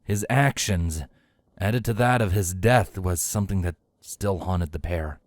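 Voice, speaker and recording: A man's voice: low voice, a little slow. A man reading a scripted story as a dramatic narrator. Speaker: fan fiction narrator.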